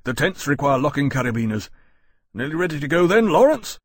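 A man's voice: on the high side, very animated, fairly quick, slightly rough, no room sound, clean studio sound, voice pushed up. A man voicing a solicitous, sensible dragon companion in an audiobook, speaking earnestly and attentively. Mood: concerned.

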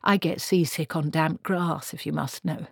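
A woman's voice: sits low, fairly even intonation, moderate pace, creaky, dry recording, studio quality. Woman putting on a grumbling, miserable male wizard. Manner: fussy, protesting, formally polite.

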